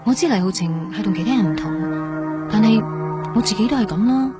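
A woman's voice: mid-range voice, lively intonation, fast, loud music behind the voice, lightly acted. A woman acting an earnest, introspective young patient in a radio drama, speaking Hong Kong Cantonese. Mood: brooding, drawn in. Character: earnest young woman recovering from heart transplant, haunted, drawn in.

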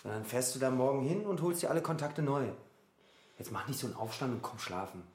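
An actor playing an impatient, jealous boyfriend who nags and soothes, in a naturalistic radio drama. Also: male voice, mid-range voice, lively intonation, fast talker, a little mellow, reverberant, natural voice. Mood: impatient, soothing.

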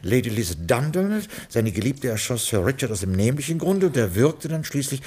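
An actor playing a courteous, long-winded British butler in a radio drama, speaking formally and digressively with a husky voice. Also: male voice, medium pitch, very animated, a little fast, a little mellow. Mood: fussy, digressive. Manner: formal, digressive, muttering to himself. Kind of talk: radio comedy crime drama, acting and narrating.

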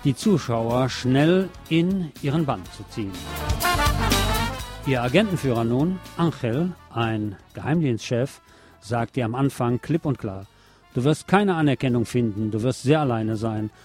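A man's voice: mid-range voice, expressive, measured pace, a little mellow, faint music behind. A man presenting a scripted film review as a radio host.

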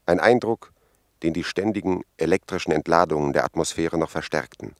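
An actor playing a grave expedition member who gives a formal, measured eyewitness report in a 1950s German radio drama. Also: male voice, low voice, dry recording, studio quality, natural voice. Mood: tense, descriptive.

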